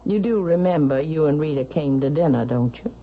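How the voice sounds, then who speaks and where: Low-voiced imperious old matriarch. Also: female voice, expressive, brisk. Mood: cold, accusing. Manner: haughty, sharp, demanding. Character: proud, imperious, suspicious elderly Southern mother, wealthy widow. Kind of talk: vintage radio drama acting. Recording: dull vintage radio broadcast, faint noise.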